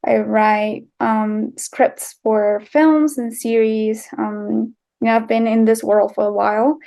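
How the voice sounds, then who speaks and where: A young Colombian woman speaks English with a Colombian accent, in a fairly high voice. She answers in loose, chatty bursts, with plenty of fillers, restarts and easy laughs. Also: steady tone, slow pace, gravelly, warm tone. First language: Spanish. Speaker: guest, writer and filmmaker. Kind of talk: casual interview answers.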